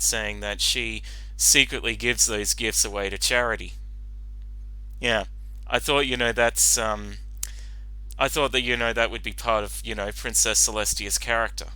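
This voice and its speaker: A man giving casual reaction commentary as host, talking in a halting, rambling way with lots of filler phrases. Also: lowish voice, pushed and bright, clean tone, dry recording, low-quality recording. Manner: halting, rambling, frequent "you know". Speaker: host giving commentary on a fan-fiction reading.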